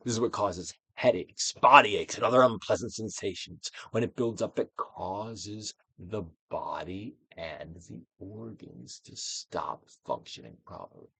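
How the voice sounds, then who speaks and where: A man giving a dramatic, theatrical reading of a self-help book, moving between hushed near-whispers and emphatic projection, with deliberate pauses. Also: lowish voice, expressive, gravelly, slightly rough, no room sound, poor audio. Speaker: audiobook reader, actor.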